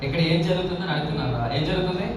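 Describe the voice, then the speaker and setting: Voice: mid-range voice, rapid-fire. A host of a live children's puppet show, bantering playfully and asking teasing questions. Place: large indoor room or hall. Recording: echoey hall, distant mic, loud crowd noise. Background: noisy crowd of children.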